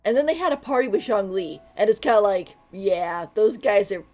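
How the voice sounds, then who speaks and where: A woman's voice: medium pitch, expressive, normal speed, darkish tone, faint music behind. A woman giving casual, informal live commentary as a game streamer, in a chatty manner.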